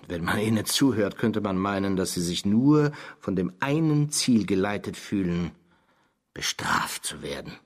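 A man's voice: deep voice, expressive, unhurried, exaggerated voice. An actor in a German radio drama playing an indignant, haughty businessman who speaks formally and scornfully and is easily outraged. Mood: bitter, exasperated. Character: indignant, exasperated, haughty businessman confronting his tormentor.

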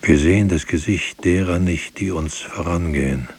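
An actor playing a grave, brooding narrator in a literary radio drama, reciting in a solemn, measured way. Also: male voice, low-pitched, a little mellow. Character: grave male narrator, poetic, brooding witness. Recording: faint background hiss.

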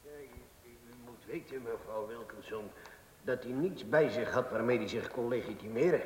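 Echoey, halting male police officer, as acted. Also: mid-range voice, slight background noise, close to an everyday voice. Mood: apologetic, awkward. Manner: halting, deferential. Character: apologetic, hesitant police officer. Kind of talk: radio play acting.

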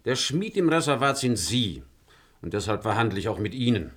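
Smooth, calculating company manager. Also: male voice, mid-range voice, big pitch swings, brisk, fairly bright tone. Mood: persuasive, insistent. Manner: polite, insinuating, businesslike. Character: smooth, calculating male corporate manager pressing a deal. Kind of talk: German radio drama acting.

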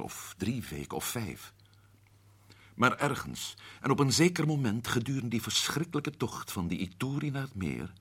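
A shrewd sleuth, husky, low, rising and falling pitch. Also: male voice, a bit bright, full character voice. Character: Paul, a shrewd man unravelling a mystery. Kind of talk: radio drama acting.

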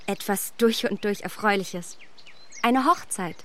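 An actress playing a proud, candid young gentlewoman in a radio drama, polite and articulate as she reproaches herself. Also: medium pitch, measured pace. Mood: guarded, polite. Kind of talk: German radio drama acting of a classic novel.

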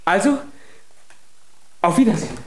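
An actor playing a small, earnest, naive young giant in a German children's radio play. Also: male voice, high-pitched, sing-song, normal speed, slight background noise. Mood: solemn, determined.

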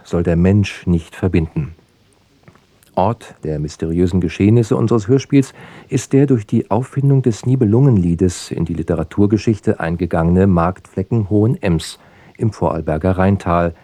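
Male announcer, dark low voice, dry studio sound, formal, measured scripted delivery. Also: no room sound. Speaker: radio announcer introducing an audio drama. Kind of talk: scripted radio introduction.

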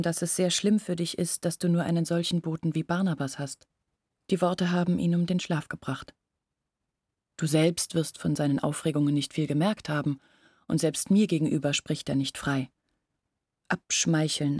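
A brooding young village woman in a literary radio play. Her voice sits rather low and is husky, recorded close and dry. She confides earnestly and anxiously, as if to one trusted listener. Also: flat delivery, moderate pace, a little mellow, no echo. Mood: confiding. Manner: intimate, brooding, earnest explaining. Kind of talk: literary radio drama acting.

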